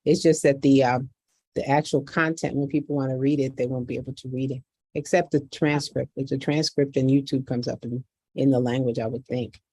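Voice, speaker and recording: A woman's voice: a bass voice, steady tone, brisk, dark tone, husky, no echo. A woman from the Bronx whose first language is Spanish, asking questions on a video call and thinking aloud. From United States, the Bronx. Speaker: call participant. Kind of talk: casual Q&A discussion.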